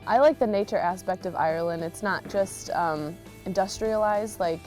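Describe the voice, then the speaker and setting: A woman's voice: medium pitch, moderate pace, darkish tone, soft background music. A young American woman giving casual, chatty interview answers as an exchange student. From Chicago, United States. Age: young adult.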